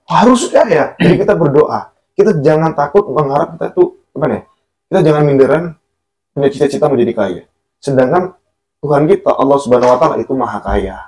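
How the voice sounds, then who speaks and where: A man's voice: on the high side, expressive, a little fast, darkish tone. A man talking in a casual religious chat, blunt and preachy, laughing often. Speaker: content creator.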